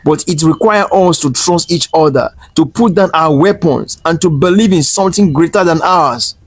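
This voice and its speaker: A man voicing a resolute young man in a folktale, pleading earnestly and with deep conviction. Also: moderate pace, breathy edge. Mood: impassioned. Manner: impassioned, full of conviction. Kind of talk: dramatic folktale character voice. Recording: roomy echo over faint music.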